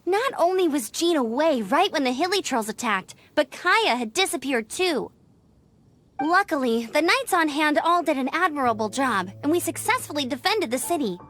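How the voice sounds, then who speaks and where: A woman voicing a young townswoman in a video game, chatty and expressive. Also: high voice, moderate pace. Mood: puzzled, wistful.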